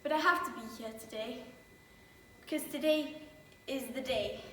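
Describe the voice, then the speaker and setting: A woman's voice: sits high, normal speed, a bit bright, a little hiss or hum. A woman playing an orderly librarian and young mother, confiding and wry in a stage monologue. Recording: echoey room from far off.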